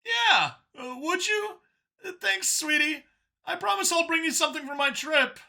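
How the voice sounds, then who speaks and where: A man voicing an anxious alien father who pleads and gushes haltingly in a strained voice, for a story. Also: lively intonation, gravelly, sharp tone, exaggerated voice. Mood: excited, doting. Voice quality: high, strained voice. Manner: halting, hesitant, gushing and apologetic by turns.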